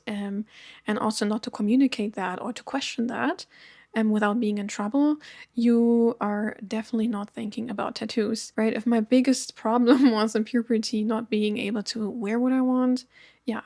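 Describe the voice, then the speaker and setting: A woman in her thirties from Germany, talking earnestly to camera in a personal vlog, with frequent soft ums. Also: medium pitch, no room sound, studio quality. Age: 30s. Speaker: vlogger. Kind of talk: personal storytelling chat to camera.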